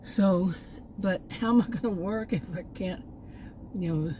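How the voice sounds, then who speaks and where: A woman's voice: medium pitch, measured pace, dark tone, a little hiss or hum, rough recording. A middle-aged woman from Texas chatting casually to her camera outdoors near a park, meandering and repeating words as she trails off. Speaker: vlogger. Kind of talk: casual rambling chat to camera.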